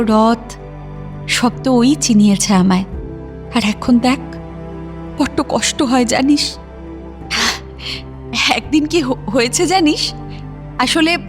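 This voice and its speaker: A young woman acting in an audio drama as a worried, wistful sister, confiding in a halting, emotional way. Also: sits high. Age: young adult. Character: worried, wistful young working woman devoted to her ailing brother. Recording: music bed under the voice.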